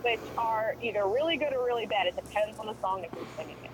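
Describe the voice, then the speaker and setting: A woman's voice: fairly high pitch, quick pace, slight background noise, poor audio. A woman co-hosting a podcast, chatting casually and rambling with opinions and fillers. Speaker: podcast co-host. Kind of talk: casual chat.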